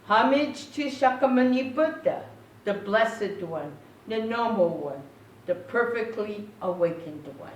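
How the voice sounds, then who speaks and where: Slow, halting woman in an echoey room. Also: a little high, expressive. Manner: halting, pausing often mid-phrase. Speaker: Buddhist minister giving a talk. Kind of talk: informal Dharma talk with short chanting. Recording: echoey, distant mic, faint background noise.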